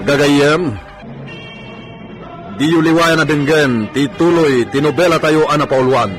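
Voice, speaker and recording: A man's voice: a little high, a little slow. Male radio drama narrator over music. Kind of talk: dramatic scripted narration, addressing listeners.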